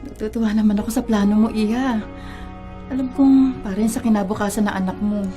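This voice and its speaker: A woman's voice: medium pitch, steady tone, fairly quick, warm tone, faint music behind, lightly acted. A woman playing a caring, protective middle-aged mother with a Filipino accent in a radio drama. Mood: warm, supportive.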